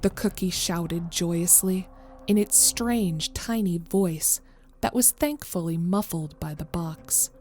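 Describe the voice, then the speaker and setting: A woman's voice: fairly low pitch, takes it slowly, darkish tone, a little hiss or hum. A woman reading scripted narration of a horror story as the narrator.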